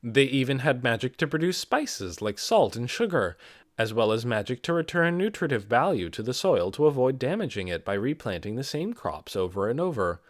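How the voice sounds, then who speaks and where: Expressive man narrating, very lively pitch. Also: normal speed, no echo, clean studio sound. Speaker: amateur audiobook reader. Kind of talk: scripted fantasy novel narration.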